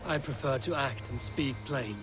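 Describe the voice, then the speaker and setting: Clear background noise sits behind a mid-pitched male voice. A man plays a stern Viking leader in a game, blunt and plain-spoken, with dry, terse delivery. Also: normal speed, natural voice. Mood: blunt, reflective. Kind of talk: dramatic video game dialogue. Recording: noisy game audio.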